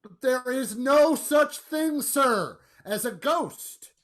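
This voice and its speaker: Brash American dad,, high voice, animated swings, sceptical, emphatic, radio drama. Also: male voice, slow, full character voice. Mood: dismissive. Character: brash, sceptical American businessman father, confident and dismissive. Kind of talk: radio play acting.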